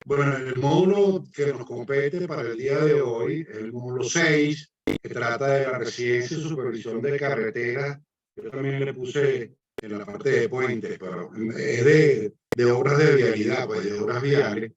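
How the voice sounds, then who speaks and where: A man's voice: mid-range voice, measured pace. Echoey Venezuelan man lecturing. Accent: Venezuelan Spanish. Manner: hesitant, many fillers and restarts. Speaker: guest lecturer, civil engineer. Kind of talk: online lecture presentation. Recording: echoey distant muffled mic.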